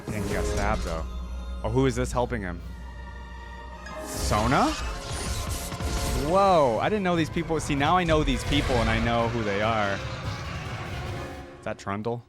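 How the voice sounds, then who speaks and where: A man hosting a reaction video, commenting casually and thinking aloud with lots of questions as he watches. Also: medium pitch. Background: music from the watched video.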